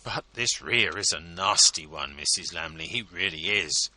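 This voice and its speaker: A man voicing an awkward, slow-witted young gentleman who talks in a halting, stiff way. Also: low-pitched, animated, no echo, full character voice, rough recording.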